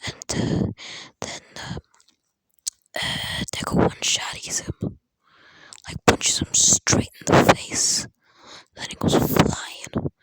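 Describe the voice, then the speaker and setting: A person speaking in a breathy, unvoiced whisper, telling an improvised fan story in a rambling, halting way, close to a phone. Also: a bit bright, no room sound, low-quality recording. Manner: rambling, halting, acting out characters' dialogue in passing.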